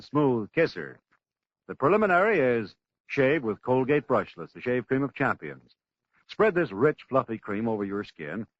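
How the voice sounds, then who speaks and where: A man's voice: mid-range voice, no echo. A man with an American accent reading a 1940s radio advertisement as a commercial announcer, polished and punchy. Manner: polished, punchy salesman delivery. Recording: dull lo-fi 1940s radio recording.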